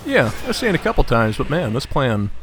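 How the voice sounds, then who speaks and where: A man's voice: medium pitch, sing-song, fairly quick, noisy background. A man chatting casually as co-commentator on video game play, conversational with a joking way of talking. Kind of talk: casual gameplay chat.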